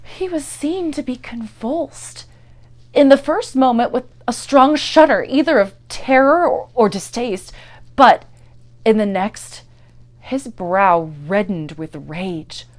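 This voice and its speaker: A woman giving a dramatic, theatrical narration of a gothic horror story, moving between hushed and intense delivery. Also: a little high, animated, takes it slowly. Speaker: actor and performer reading a story.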